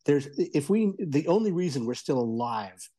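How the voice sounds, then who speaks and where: A man giving a conversational review as a radio columnist, explaining things eagerly in a halting way. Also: on the high side, big pitch swings, mellow, breathy edge. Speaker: audio entertainment columnist and reviewer.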